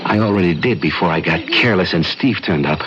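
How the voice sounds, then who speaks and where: A man playing a scheming, envious twin brother who mutters haltingly to himself in an old-time radio drama. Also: sits low, heavy background noise, close to an everyday voice. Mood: greedy scheming. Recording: dull old radio recording, loud background sound.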